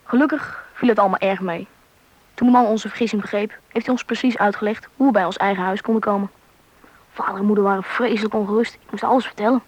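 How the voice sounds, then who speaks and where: A woman's voice: mid-range voice, no echo, close to an everyday voice. A woman playing a curious, anxious schoolboy who narrates and acts in a Dutch radio play.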